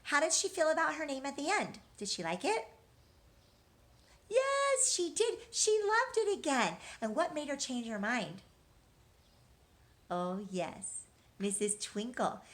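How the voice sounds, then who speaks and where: Lady teacher w a highish voice talking to little kids, asks questions n answers them, encouraging read-aloud style. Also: sing-song, unhurried. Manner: encouraging, reassuring. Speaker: teacher reading aloud to young children. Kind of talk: interactive children's read-aloud and lesson.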